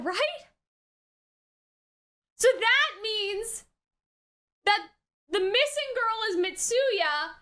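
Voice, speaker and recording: Very high, bright-voiced woman reacting casually. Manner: animated, exclamatory, slangy, thinks aloud. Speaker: reaction video host. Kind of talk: casual excited reaction commentary on an anime episode.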